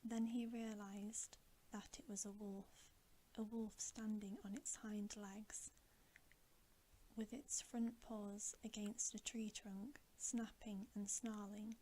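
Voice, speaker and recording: A woman reading a story aloud in a soft, breathy ASMR whisper, in a measured way. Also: mid-range voice, little pitch movement, a little hiss or hum, low-quality recording. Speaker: ASMR storybook reader, channel host.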